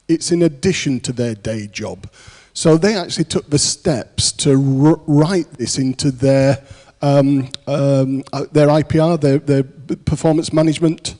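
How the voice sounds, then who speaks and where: A man's voice: mid-range voice, warm tone. A British man on a conference panel, answering at length with many ums and restarts. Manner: rambling, many fillers and restarts. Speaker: panelist, programme manager. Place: large conference hall. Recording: long reverb of a conference hall.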